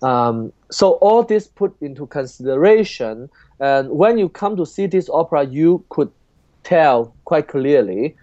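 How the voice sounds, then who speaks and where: Higher-voiced Chinese-accented man, dull audio. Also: expressive, dark tone, no echo. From southern China. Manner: halting, emphatic non-native English. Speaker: opera composer, podcast guest. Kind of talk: interview talk.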